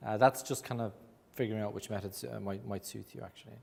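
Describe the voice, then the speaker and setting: A man's voice: lowish voice, fairly even intonation, fast talker, vocal fry, a little mellow. Irish guy talking to a room, chatty, lots of ums, bit of hall reverb. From Ireland. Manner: conversational, self-deprecating, many fillers. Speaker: agile coach speaker. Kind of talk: conference talk. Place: meeting room in an office.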